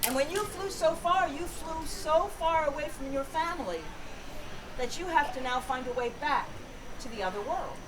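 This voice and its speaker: A woman voicing a pious, knowing male bishop in a live fairy tale, formal, measured and instructive. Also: high-pitched, expressive. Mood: solemn, instructive. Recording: noisy outdoor fair, mic far off.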